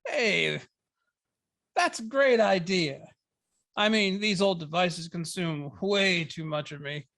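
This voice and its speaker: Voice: medium pitch, expressive, slow pace, vocal fry, a bit bright, exaggerated voice. A performer reading the part of a weary, easygoing boyfriend in a script table read, with a casual delivery. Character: Todd, weary easygoing boyfriend of a young witch.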